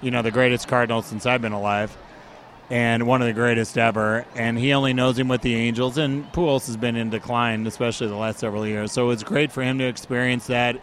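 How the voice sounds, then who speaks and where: Hoarse enthusiastic man, middle pitch. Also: a little fast, a little hiss or hum. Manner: animated, enthusiastic storytelling. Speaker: guest. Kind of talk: casual interview.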